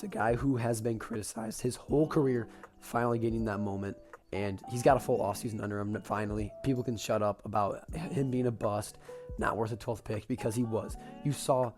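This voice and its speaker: A young man with a raspy voice, getting over an illness, excitedly recapping a football game as a podcast host, with casual fillers. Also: fairly low pitch. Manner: excited fan talk with fillers.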